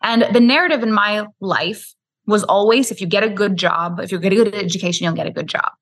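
Bright-voiced French-Canadian woman telling her story. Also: mid-range voice, a little fast. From Quebec, Canada. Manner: candid, flowing storytelling with frequent "like" and "you know". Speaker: podcast guest. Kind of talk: storytelling interview.

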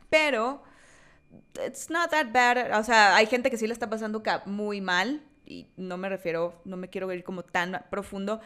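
A woman's voice: fairly high pitch, a bit bright, clear, resonant tone. A young woman with a Sonoran Mexican accent, co-hosting a podcast in casual chat, who often repeats and restarts her words. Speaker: podcast co-host.

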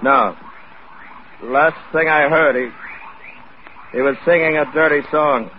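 A man's voice: fairly high pitch, takes it slowly, slight background noise. An actor playing a remorseful, earnest spaceman facing death in a 1950s radio drama. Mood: tender farewell. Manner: brooding, confessional, earnest. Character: remorseful doomed spaceman drifting toward death. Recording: muffled 1950s radio recording.

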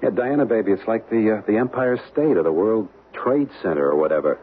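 A wry husband, lively low voice. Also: male voice, brisk, breathy edge. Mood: relaxed, wry. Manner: casual, wry, affectionate. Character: easygoing, wry American husband on vacation, keen photographer. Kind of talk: radio drama acting. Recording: tinny, noisy old radio recording.

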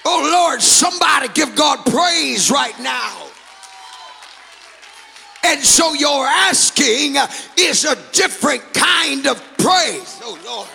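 A male pastor whose first language is Spanish, preaching with shouting, sing-song phrases and calls to the congregation. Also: very high voice, expressive, slow, gravelly, big-room sound, faint music behind, a faint crowd. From Latin America. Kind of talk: sermon. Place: church sanctuary.

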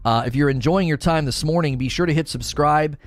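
A man's voice: a little high, a little fast, no room sound. A man hosting a casual livestream talk show, chatting and answering viewers with rambling enthusiasm and frequent repeats. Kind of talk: casual livestream chat reading viewer comments.

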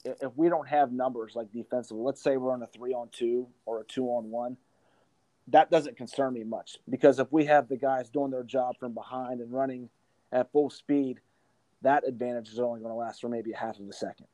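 A man's voice: medium pitch, fairly even intonation, normal speed, dark tone, no room sound, poor audio. A man speaking as a college basketball coach in an interview, explaining his approach emphatically and repeating points for stress. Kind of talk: interview answers about coaching.

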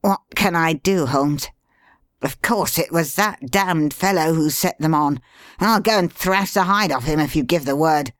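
A man's voice: on the high side, normal speed, a bit bright, dry recording, lightly acted. Man voicing an eager loyal doctor, earnest questions, dry close. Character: loyal male doctor friend, eager and worried.

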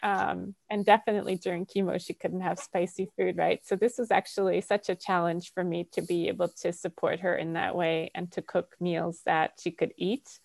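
A woman's voice: lowish voice, flat delivery, moderate pace, vocal fry, hardly any pauses, darkish tone, no room sound. A woman hosting a podcast in casual conversation, chatty and laughing often, with lots of fillers. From Canada. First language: Polish.

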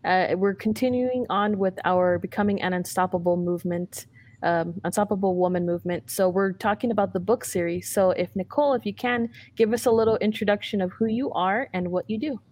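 A woman hosting a podcast, opening the show in a brisk, welcoming manner. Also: mid-range voice, darkish tone. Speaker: podcast host. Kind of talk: podcast introduction.

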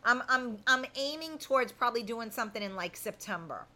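A woman's voice: on the high side, animated, fairly quick, pushed and bright. A woman in her fifties hosting a casual live chat, talking to viewers in a candid, blunt, colloquial way. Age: 50s. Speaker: host of a live chat show.

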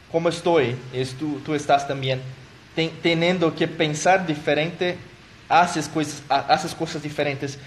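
A man with a slightly higher voice, whose first language is Portuguese, hosts a live stream as a motivational coach. His delivery is halting, full of fillers, restarts and small self-corrections, and he thanks viewers by name as they comment.

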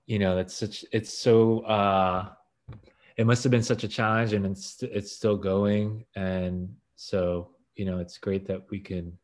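A man interviewing a guest, halting and searching for words. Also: fairly low pitch, little pitch movement.

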